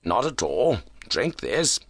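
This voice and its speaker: A man voicing a kindly, brisk gentleman doctor in an audiobook, courteous and concerned. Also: mid-range voice, very animated, no room sound, studio quality, pitched above the performer's own voice, exaggerated voice. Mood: commanding. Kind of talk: audiobook character voice.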